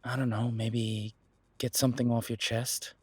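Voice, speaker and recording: A man playing a protective, worried werewolf detective boyfriend in an intimate roleplay audio drama, speaking softly and reassuringly with hesitant pauses. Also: medium pitch, flat delivery, slightly rough, no echo. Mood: concerned.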